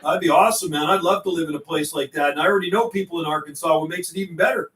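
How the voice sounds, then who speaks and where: A man's voice: fairly high pitch, racing, a bit bright, roomy sound, far from the mic, slight background noise. A middle-aged man chatting casually over CB radio on a livestream, folksy and given to repeating himself. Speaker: CB radio operator and livestream host.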